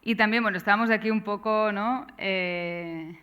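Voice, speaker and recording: Smooth-voiced Catalan woman hosting a seminar. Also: mid-range voice, fairly bright tone. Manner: halting, with many tag questions and restarts. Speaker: course organizer and moderator. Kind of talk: seminar introduction.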